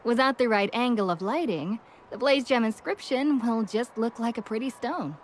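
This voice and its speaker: A woman's voice: fairly high pitch, moderate pace, a little hiss or hum, natural voice. An actress playing a kindly, forgetful, worried townswoman in a video game, speaking in an earnest, conversational way. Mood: explaining. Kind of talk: English video game voice acting.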